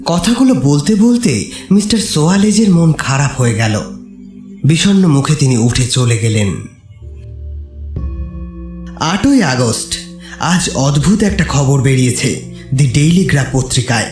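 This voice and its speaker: A man's voice: fairly high pitch, expressive, measured pace, pauses often, a little mellow. A man narrating a scripted horror story in a dramatic style. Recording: echoey, with music underneath. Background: music.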